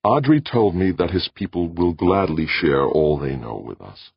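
A man voicing a steady, earnest man in an audiobook, controlled and deliberate. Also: rumbling low, creaky, slightly rough, no echo, muffled recording, heavily acted. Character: steady, earnest man, convinced and holding his temper. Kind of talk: audiobook character voice.

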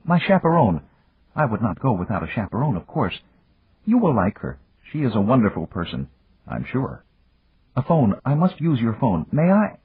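A man's voice: lowish voice, wildly swooping pitch, normal speed, husky, voice pushed up, exaggerated voice. A man voicing a dignified, eager young Venezuelan woman in an audiobook, speaking politely and formally with earnest spirit. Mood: excited. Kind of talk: audiobook character voice. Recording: echoey room, muffled narrow-band sound.

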